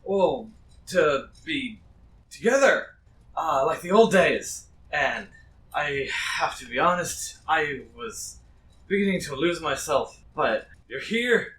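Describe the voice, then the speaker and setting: A man's voice: a little high, sing-song, slow, fairly bright tone, exaggerated voice. A man playing a drunk, clingy, rambling older townsman who pleads and repeats himself. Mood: eager, nostalgic. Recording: roomy distant mic with noise.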